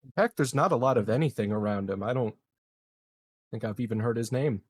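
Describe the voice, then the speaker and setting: A man's voice: medium pitch, brisk, slightly rough, no echo. A man playing a tabletop roleplaying game, talking casually as he makes in-game decisions.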